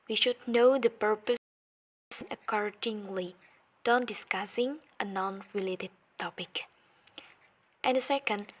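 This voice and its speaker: A young woman delivers a scripted student presentation. She speaks very slowly and deliberately, point by point, in a medium-pitched voice. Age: young adult. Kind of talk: scripted lesson presentation, read aloud.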